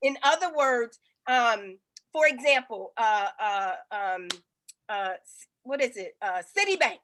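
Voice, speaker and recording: A woman's voice: a little high, lively intonation, a little slow, a bit bright, slight background noise. A woman consulting for small businesses, talking in a rambling way with many ums and restarts on a casual group video call.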